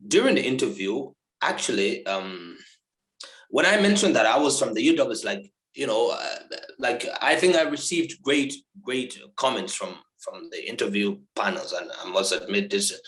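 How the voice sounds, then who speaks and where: Halting young man on an echoey call. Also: lowish voice, a bit bright. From Liberia. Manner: hesitant, many fillers and repeated words. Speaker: interviewee, law graduate. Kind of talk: casual online interview. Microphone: video call. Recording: echoey room over video call.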